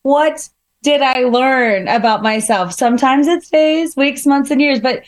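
A woman in casual conversation, her somewhat high voice carrying a slight harshness. She thinks aloud, building points through everyday examples. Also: unhurried. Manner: reflective, gives examples, many fillers. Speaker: guest speaker.

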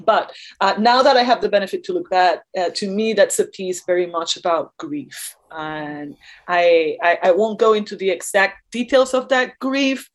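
A woman speaking as an interview guest, explaining her ideas in a reflective, earnest, conversational way. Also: fairly low pitch. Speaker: interview guest, dance choreographer.

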